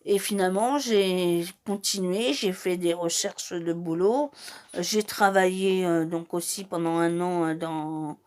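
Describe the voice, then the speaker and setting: A woman's voice: fairly low pitch, steady tone, slow, darkish tone. A woman in her forties, from Madeira and a native Portuguese speaker, talking about her life in a hesitant way with many fillers and restarts. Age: 40s. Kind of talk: casual personal monologue.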